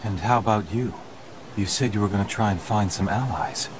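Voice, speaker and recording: An actor playing a serious, gentle young male ninja and older brother, reserved and apologetic, in an anime dub. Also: on the low side, brisk, noisy background, natural voice. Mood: grave.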